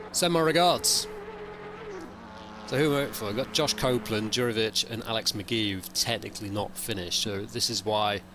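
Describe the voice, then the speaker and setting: A man streaming a sim race, with a croaky, hungover voice, rambling and thinking aloud in casual chat. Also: lowish voice, noisy background. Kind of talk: casual live-stream commentary.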